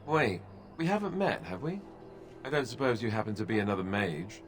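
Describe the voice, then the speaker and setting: A man's voice: fairly low pitch, lively intonation, a little fast, natural voice. Young male fantasy game warrior, played by an actor: wry, joking, friendly, very varied intonation. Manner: sarcastic, joking. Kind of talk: video game dialogue.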